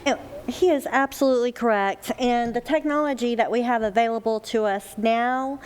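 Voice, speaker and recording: A woman's voice: fairly high pitch, normal speed, a little mellow, clear, resonant tone. Zoning office lady explaining how permits get checked, echoey meeting room. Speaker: zoning staff member. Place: council meeting chamber. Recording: reverberant meeting hall.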